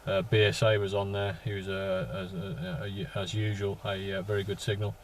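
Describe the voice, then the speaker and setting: Male radio ham, flat low delivery, halting and repetitive, chatting from a parked vehicle with some background noise. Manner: halting, repeats words as they go. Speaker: amateur radio operator. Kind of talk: casual radio contact chat with call signs.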